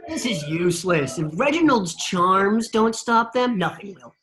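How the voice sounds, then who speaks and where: A woman's voice: mid-range voice, expressive, measured pace, close to an everyday voice. A woman playing a goofy, carefree, playful brother at a script reading. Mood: upbeat. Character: goofy, carefree brother devoted to pet lobster. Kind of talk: script table read.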